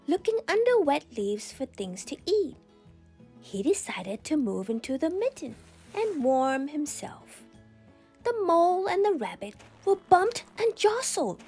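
A woman reading a children's picture book aloud as a storyteller, with expressive, dramatic and deliberate phrasing. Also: fairly high pitch, slightly rough.

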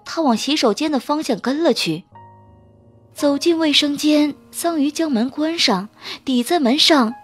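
A woman reading a romance novel as a dramatic audiobook narrator. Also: sits high.

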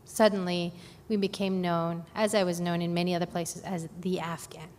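A woman from Brooklyn recounting her life in an oral history interview, hesitant with many pauses and fillers. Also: on the low side, flat delivery, a little mellow. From Brooklyn, New York. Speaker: oral history narrator on a panel. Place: conference hall. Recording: echoey hall, faint background noise.

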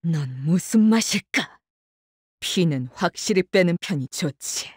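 A woman voicing a cold, curt female chef and swordswoman in a video game, with a hushed, deadpan, sinister delivery. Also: lowish voice, a little slow, breathy edge, no echo, clean studio sound. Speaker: voice actor for a game character. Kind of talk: Korean video game character voice lines.

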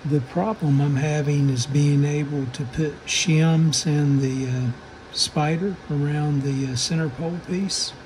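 A man giving casual, unscripted hands-on repair narration in a halting manner, often pausing mid-phrase. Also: a little high, fairly even intonation, a little mellow, slight background noise, low-quality recording. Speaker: radio restoration hobbyist.

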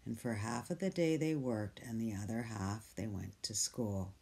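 A storyteller reading a picture book aloud, gentle and soft, sometimes dropping to a whisper. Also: mid-range voice, normal speed, vocal fry. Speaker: storybook reader.

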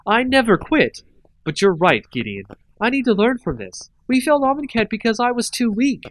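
A man reading aloud and voicing a stubborn young woman mage, pitching his voice up to play her insistence. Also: big pitch swings, moderate pace, heavily acted, poor audio. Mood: determined.

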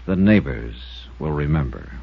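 An American actor playing a shrewd, hard-boiled insurance investigator in a 1950s radio drama, with a clipped, wry, deliberate delivery. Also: male voice, rumbling low, very animated, vocal fry, slight background noise, heavily acted. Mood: accusing, grave. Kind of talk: 1950s radio detective drama acting and narration. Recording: muffled 1950s radio recording, music behind.

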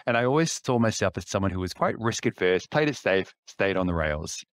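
A man's voice: deep voice, lively intonation, fairly quick, no echo. Reflective New Zealand man in his fifties. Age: 50s. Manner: reflective, with light laughs and frequent restarts. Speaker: podcast guest. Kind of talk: casual interview chat. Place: indoor office. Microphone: headset on a video call.

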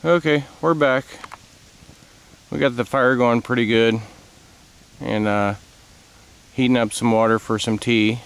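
A middle-aged man narrating casually to a camera outdoors in the woods, in an unhurried, folksy way. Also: medium pitch, steady tone, clean tone. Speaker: outdoor vlogger. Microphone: camcorder a few feet away.

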